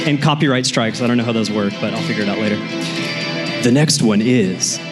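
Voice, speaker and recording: A man's voice: medium pitch, moderate pace, over loud music. A man hosting a live podcast on a conference stage, playful and upbeat as he talks to the audience. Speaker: podcast host.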